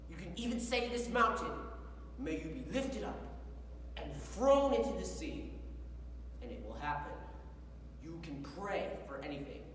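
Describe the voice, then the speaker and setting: A woman playing a solemn, commanding male religious teacher in a dramatized recitation, speaking in a deliberate, declamatory way. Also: fairly low pitch, sing-song. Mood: firm teaching. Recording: echoey hall, far from mic, hum.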